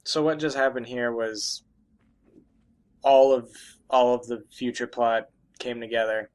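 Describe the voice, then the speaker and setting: A man doing casual, halting, profane gaming commentary alongside a co-host, in a joking way. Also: medium pitch, flat delivery, darkish tone. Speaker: Let's Play commentator.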